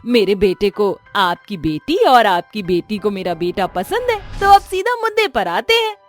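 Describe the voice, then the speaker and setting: A woman's voice: medium pitch, sing-song, a little slow, faint music behind. A woman voicing a cruel, greedy, middle-aged mother-in-law in a melodramatic moral-story cartoon, with a taunting manner. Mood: gushing, grasping.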